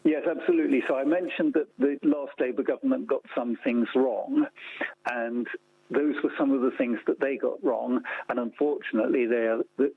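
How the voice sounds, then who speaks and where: Heard down a thin, narrow phone line, a man answers as an interview guest. His voice is husky and creaky, and he lays out his points in a measured, orderly way. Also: mid-range voice, fairly even intonation, no room sound. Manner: measured, structured, enumerating points. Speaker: interview guest, report author and organisation founder. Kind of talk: explanatory radio interview. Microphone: telephone.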